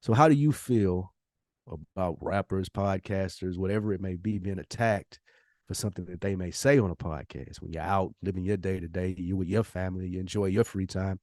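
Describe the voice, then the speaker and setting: A man's voice: low voice, darkish tone, no room sound. Man debating casually in a dry-sounding space. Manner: emphatic, rhetorical questioning, slangy. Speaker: podcast host. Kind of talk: opinionated casual discussion.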